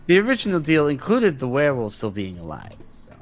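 A man's voice: mid-range voice, wildly swooping pitch, a little fast, faint music behind. A man playing an evasive, sarcastic supernatural deal-maker in an improvised game roleplay, often hesitant. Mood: sardonic, evasive.

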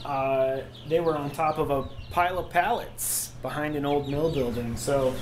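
A man's voice: a little high, fairly even intonation, moderate pace. Easygoing man rambling in a noisy basement. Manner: easygoing, rambling, many ums. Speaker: homestead vlogger. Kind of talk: casual how-to narration. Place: home basement workshop. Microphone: action camera on the body. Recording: noisy basement with chickens clucking. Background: chicks cheeping.

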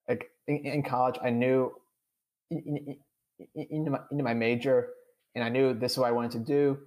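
A man's voice: mid-range voice, a little mellow. A young man with a strong stutter, answering podcast interview questions candidly, with many repeated sounds and long strings of "uh". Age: young adult. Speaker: podcast guest.

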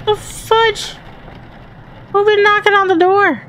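A woman with a Southern accent and a raspy voice chats to the ghosts while playing a horror game. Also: very high-pitched, steady tone, slow, a bit bright. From Texas. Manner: talks to the game and its ghosts, jumpy and chatty. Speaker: gaming channel host. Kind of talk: horror game let's play commentary.

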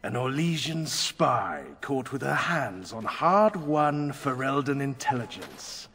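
Villainous male captor for a fantasy game: very slow, creaky, taunting, animated pitch. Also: a little hiss or hum. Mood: gloating, menacing. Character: gloating, menacing male military captor. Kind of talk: video game villain acting.

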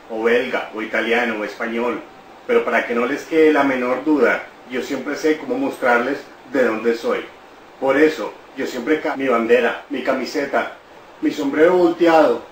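A man's voice: mid-range voice, slight background noise. A middle-aged Colombian man speaking cheerfully and boastfully to the camera at home, full of national pride. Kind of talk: playful proud monologue to camera. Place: living room at home, indoors. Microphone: camera a few feet away. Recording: roomy and distant, music under it. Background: music.

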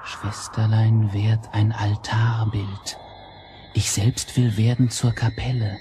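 An actor playing a resolute young brother in a children's radio play, deliberate and incantatory as he casts spells. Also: lowish voice, level tone. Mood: solemn, urgent. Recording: noisy vintage children's record.

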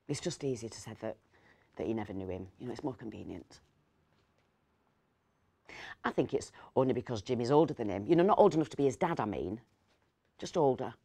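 A woman's voice: a bass voice, gravelly, mellow, slightly rough, exaggerated voice. Rambling, fussy woman, acted. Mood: confiding. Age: middle-aged. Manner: hesitant, digressive, self-correcting. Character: rambling, fussy, kindly woman host of Christmas dinner. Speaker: actor reading a story. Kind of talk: dramatic storytelling monologue. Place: indoors, seated in an armchair.

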